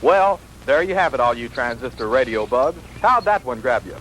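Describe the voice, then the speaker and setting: A man's voice: medium pitch, lively intonation, normal speed, a bit bright, soft background music, close to an everyday voice, poor audio. A man playing a campy mad-scientist host who presents odd old movies. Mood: campy, teasing. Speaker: horror-movie show host. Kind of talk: campy late-night host patter.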